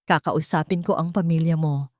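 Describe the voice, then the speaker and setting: A young male CEO speaks with calm, icy control, each word measured, as a woman voices him. The voice sits low and is recorded dry. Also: dry recording, lightly acted. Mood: cold. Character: cold, commanding male CEO, young adult.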